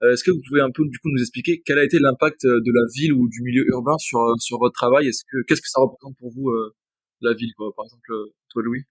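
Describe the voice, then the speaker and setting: A man's voice: medium pitch, fairly even intonation, fast talker, dry recording. A man hosting a podcast, asking his guests questions in a hesitant way with many fillers. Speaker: podcast host.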